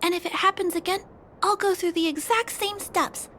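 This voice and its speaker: A woman voicing a small, gentle but determined young girl in a video game, with an earnest, childlike way of talking. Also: extremely high pitch, fairly even intonation, normal speed, a little hiss or hum. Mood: determined, pleading. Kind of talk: scripted video game dialogue.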